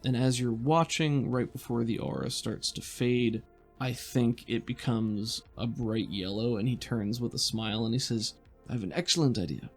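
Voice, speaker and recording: A man with a creaky, fry-edged voice narrates a tabletop game. He works as game master, improvising descriptions at an ordinary male pitch over faint background music. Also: fairly even intonation, moderate pace, darkish tone.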